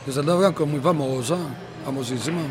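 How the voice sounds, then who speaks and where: Colombian guy in an interview, talks fast, slightly high voice, remembering stuff, music behind. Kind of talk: spoken recollection.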